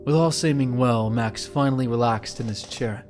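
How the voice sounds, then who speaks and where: A man's voice: medium pitch, moderate pace, darkish tone. A man giving scripted, dramatic story narration for an audio drama. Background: background music.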